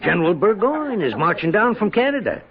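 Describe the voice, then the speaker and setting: High, gravelly, scheming farmer. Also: male voice, very animated, brisk. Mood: sly, persuasive. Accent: American. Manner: wheedling, falsely hearty, mocking. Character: sly, mocking, prosperous older male farmer, wheedling schemer. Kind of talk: old-time American radio drama acting. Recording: dull, band-limited 1970s radio broadcast.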